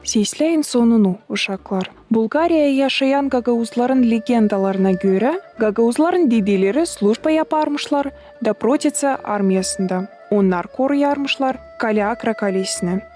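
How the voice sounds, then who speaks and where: Animated teacher: kindly, composed, mellow tone, addressing young pupils. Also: female voice, a little high, unhurried, hardly any pauses, faint music behind. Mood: instructive. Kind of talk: cartoon lesson narration.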